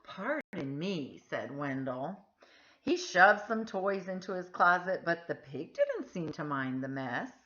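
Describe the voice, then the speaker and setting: A woman reading a picture book aloud to children in an expressive, emphatic storytelling style. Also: on the low side, lo-fi sound. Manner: animated, deliberate, emphatic phrasing.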